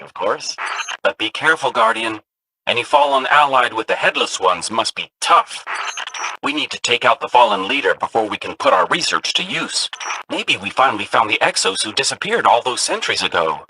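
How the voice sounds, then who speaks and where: A man's voice: medium pitch, gravelly, pushed and bright, a little hiss or hum, exaggerated voice, rough recording. An actor voicing an eager, chatty male ghost companion robot in a video game, a curious storyteller of spooky legends. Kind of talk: game voice lines.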